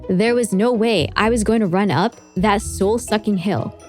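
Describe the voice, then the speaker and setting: A woman's voice: mid-range voice, moderate pace, darkish tone. Wry woman narrating over music. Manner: wry, chatty asides. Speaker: host and narrator. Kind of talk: scripted storytelling narration. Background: music behind the voice.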